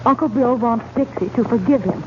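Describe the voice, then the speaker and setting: A woman's voice: on the high side, brisk, close to an everyday voice. An actress playing an earnest, level-headed ranch woman in a vintage radio western. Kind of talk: 1940s-50s radio western acting. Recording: muffled old radio recording with hiss.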